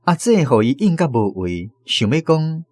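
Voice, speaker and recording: A man's voice: fairly high pitch, big pitch swings, moderate pace, darkish tone, no room sound, studio quality. A man with a Taiwanese accent reading scripted story narration for a radio drama.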